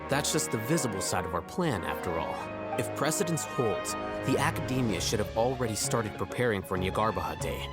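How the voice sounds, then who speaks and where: A man playing a cool, calculating young scholar in a video game, speaking in a precise, deadpan, condescending way. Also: on the low side, a little fast, close to an everyday voice. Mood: matter-of-fact, calculating. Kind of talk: anime-style video game voice acting. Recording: music behind the voice.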